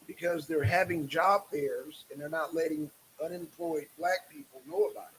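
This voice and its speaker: A man's voice: a little high, animated, normal speed, fairly bright tone, slight background noise, low-quality recording. A man hosting a casual live stream, speaking in a repetitive, emphatic and exasperated way.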